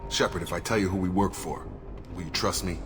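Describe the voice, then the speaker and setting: Man playing a very deep-voiced, steady soldier. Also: a little fast, background noise, close to an everyday voice. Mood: earnest. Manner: earnest, firm, plain-spoken. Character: steady, loyal male soldier, frank and dutiful. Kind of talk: video game voice acting. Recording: reverberant hall under music.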